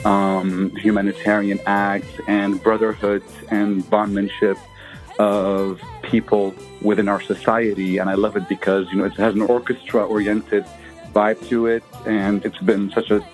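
A man from Lebanon with a very low voice speaks in a near monotone. His voicemail is slow and thoughtful, with the odd filler as he gathers his words. Faint music plays beneath him. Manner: unhurried, reflective, with pauses and fillers. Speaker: listener leaving a voicemail. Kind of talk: voicemail message.

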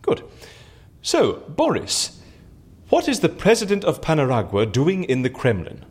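An actor playing an eccentric, chatty British gentleman fixer in an audio drama, effusively polite and easily flustered. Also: fairly high pitch, wildly swooping pitch, a little slow, breathy edge, heavily acted.

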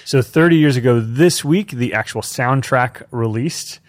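A man's voice: mid-range voice, measured pace. A man of about forty, an enthusiastic podcast host talking about pop culture. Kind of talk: scripted narration and casual chat about pop culture.

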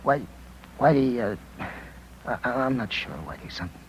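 A man playing a battered, shaken newspaper reporter in 1950s radio drama style, weary and halting. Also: medium pitch, natural voice. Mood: weak, halting. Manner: halting, weary, broken phrases. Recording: noisy vintage 1950s radio recording.

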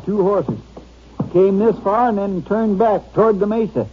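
A man's voice: high voice, a little slow, narrow, tinny audio. A man playing an eager, folksy western sheriff in an old-time radio crime drama, blunt and full of questions, on a noisy old recording. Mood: watchful. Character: plain-spoken, eager male western sheriff.

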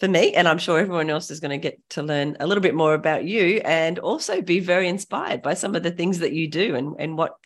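Woman podcast host, kinda low voice, chatty and giggly, upbeat casual interview style. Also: fast talker, few pauses.

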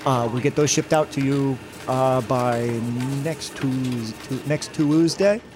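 A man playing a fussy office sales rep in an audio comedy, halting as he talks and given to sing-song cooing. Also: mid-range voice, natural voice. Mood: chirpy, distracted.

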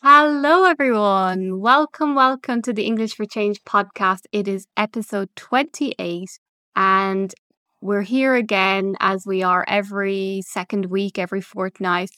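A woman's voice: medium pitch, a little slow, sharp tone, smooth, dry recording, studio quality. A woman from Ireland, an English teacher hosting her podcast in an upbeat, encouraging, conversational way. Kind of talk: casual solo podcast chat.

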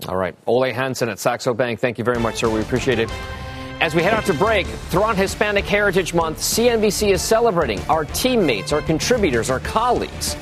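Business anchor: American man, fairly high voice, live TV presenting and interviewing. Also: lively intonation, fast talker, music in the background.

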